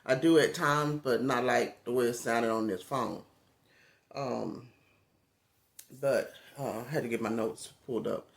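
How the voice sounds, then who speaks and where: A man with a raspy voice, a content creator talking casually into his phone, relaxed and rambling with many fillers. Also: medium pitch, unhurried. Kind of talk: casual talk to camera.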